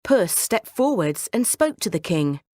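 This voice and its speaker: A woman's voice: on the low side, sing-song, unhurried, darkish tone, no echo, clean studio sound. A woman narrating a scripted bedtime story for children as the storyteller.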